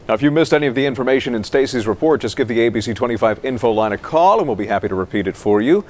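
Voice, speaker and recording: A man's voice: mid-range voice, animated, very fast, darkish tone. A man with an American accent reading scripted television news as an anchor.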